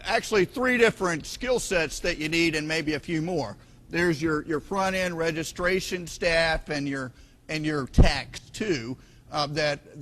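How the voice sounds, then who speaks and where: A man leads a workshop at a conference, holding a microphone and talking in a loose, conversational way. He fills his sentences with "um" and repeats words as he goes. His voice sits a bit higher than most men's. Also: fairly bright tone. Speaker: conference speaker. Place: conference room. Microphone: handheld microphone.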